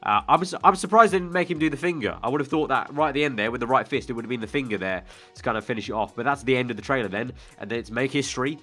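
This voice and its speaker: A man's voice: mid-range voice, rapid-fire, pushed and bright, faint music behind. A man giving casual, enthusiastic video game trailer commentary in an informal way, often repeating phrases for emphasis. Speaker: gaming video host.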